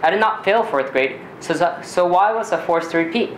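A teenage male from Ecuador, a native Spanish speaker, reading a personal story aloud in a measured, deliberate way with occasional stumbles. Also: high voice, expressive. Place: small community theater stage. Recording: roomy live stage recording, faint noise.

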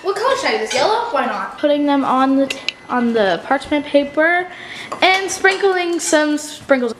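Teenage girl, high voice, giggly, playful, casual cooking vlog, echoey kitchen, far camera, noisy. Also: lively intonation, moderate pace. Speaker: vlog co-host. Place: home kitchen, indoors. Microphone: far camera on a tripod.

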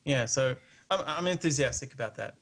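A man's voice: medium pitch, expressive, a little fast, fairly bright tone. A man with an Australian accent, a guest on a live internet show, talking in a casual, rambling, good-natured and hesitant way. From Melbourne, Australia. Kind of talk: casual unscripted chat.

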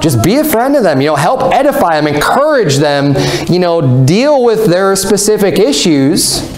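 A man's voice: on the high side, a little mellow. An American man in his thirties preaching as a pastor, explaining scripture in an informal, conversational way with repeated phrases. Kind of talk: sermon, conversational Bible teaching. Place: small indoor room with block walls, at a lectern. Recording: echoey room, mic at a distance.

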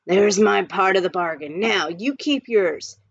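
A woman voicing a sneering, scarred male outlaw dentist who talks gruff and terse, on a dull lo-fi recording. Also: medium pitch, animated, measured pace, dry recording.

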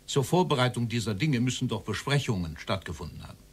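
An actor playing a stern interrogator in a documentary radio drama, formal and probing in manner. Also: male voice, medium pitch, animated, fast talker, husky. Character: stern male interrogator questioning a Nazi-era official.

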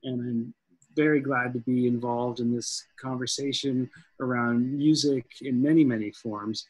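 A man's voice: medium pitch, fairly even intonation, unhurried, a little mellow, dry recording, poor audio. A man in his forties speaking as a guest music professor on a panel video call, thoughtful and conversational, with a headset mic in a room at home. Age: 40s. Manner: thoughtful, conversational, many fillers.

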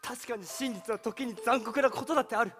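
An actor playing an idealistic young defence lawyer in a live stage play, with heartfelt theatrical delivery. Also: male voice, very high voice, brisk, a bit bright, soft background music.

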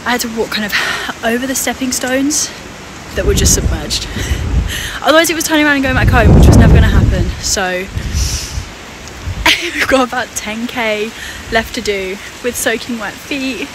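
Woman chatting through loud outdoor noise. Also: on the high side, normal speed. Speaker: vlogger. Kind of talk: casual reflective chat while hiking. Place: outdoors on a hiking trail. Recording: very noisy outdoor wind and water sound.

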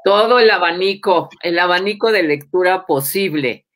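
A woman's voice: fairly low pitch, normal speed, fairly bright tone. An elderly woman, interviewing conversationally over a video call. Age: 70s or older. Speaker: interviewer, host. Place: book-lined room at home, on a video call.

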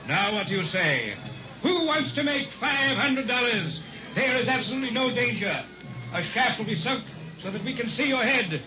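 A man playing a slick, theatrical carnival hypnotist with grand, teasing patter in an early sixties radio drama. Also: high-pitched. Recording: dull old radio recording, music behind.

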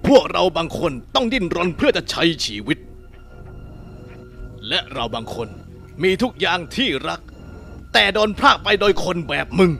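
A cocky cowboy demon assassin, played by a man, sneering and crude with sudden shouts. The voice sits high and swings widely in pitch, with faint music under it in a cartoon fan dub. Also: big pitch swings, normal speed, vocal fry, long pauses, heavily acted. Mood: menacing.

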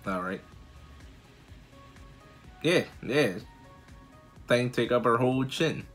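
An American man around his thirties giving casual, slangy reaction commentary as a video host, expressive and full of exclamations. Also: medium pitch, slow pace, faint music behind. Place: small bedroom indoors.

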